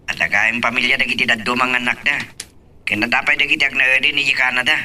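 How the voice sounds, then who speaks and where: A man's voice: medium pitch, steady tone, fast, pushed and bright, recorded from a distance, slight background noise. A man playing a dutiful, reassuring grown son in an audio love drama, speaking conversationally with an Ilocano accent. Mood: respectful, easygoing. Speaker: voice actor.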